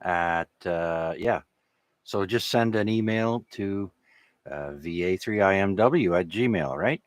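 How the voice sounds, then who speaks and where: A man's voice: low voice, slow pace, dry recording. A man, a native French speaker from Canada, hosting an amateur radio net in a casual, rambling, hesitant way.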